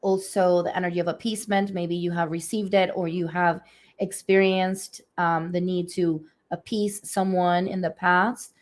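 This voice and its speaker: A woman speaking softly and slowly, her voice a little hoarse and raspy. She gives a spiritual reading over a livestream, pausing often with gentle fillers. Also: lowish voice, level tone. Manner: soft, unhurried, many fillers. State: slightly hoarse from allergies. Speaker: energy healer giving readings. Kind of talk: live-streamed spiritual reading. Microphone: phone or webcam on a livestream.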